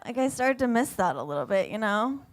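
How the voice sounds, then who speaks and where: Animated young woman doing stand-up. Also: fairly high pitch, brisk. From Vermont, USA. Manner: animated, self-mocking, acts out quotes. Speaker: stand-up comedian. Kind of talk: stand-up set. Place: theater stage.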